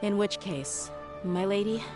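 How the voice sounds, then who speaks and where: A woman voicing a tough, loyal young mercenary bodyguard in a video game, speaking in a frank, measured, principled way. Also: medium pitch, over music, close to an everyday voice. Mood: sincere.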